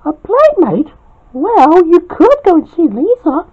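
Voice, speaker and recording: Storyteller doing a worried helpful wife, really high voice, gentle coaxing questions, storybook style. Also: big pitch swings, takes it slowly, dull audio, exaggerated voice. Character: concerned, helpful wife on the phone.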